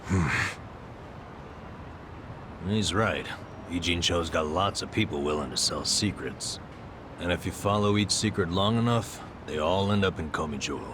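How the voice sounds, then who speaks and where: Slow, very deep-voiced yakuza hero. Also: male voice, background noise. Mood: pensive. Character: gruff, blunt, good-hearted yakuza hero. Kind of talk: English video game dub acting.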